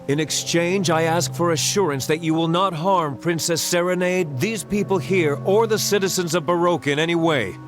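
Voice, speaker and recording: A dignified young prince, voiced by a man, slightly high-pitched, measured and sincere, with orchestral music clearly beneath him. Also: lightly acted. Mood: earnest. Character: earnest young prince pleading for peace. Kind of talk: dubbed video game cutscene.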